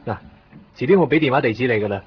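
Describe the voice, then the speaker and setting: A man's voice: medium pitch, animated, very fast, a little hiss or hum, lightly acted. An actor playing a curt, evasive young man in a radio drama, speaking Hong Kong Cantonese in a weary, offhand way. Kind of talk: 1990s radio drama dialogue. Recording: muffled 1990s radio drama recording.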